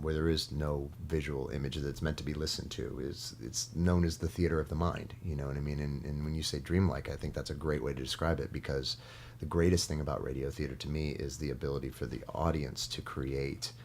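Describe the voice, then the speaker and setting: A man, a guest performer, chatting casually and explaining his craft in a studio interview with noticeable background noise. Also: very deep voice.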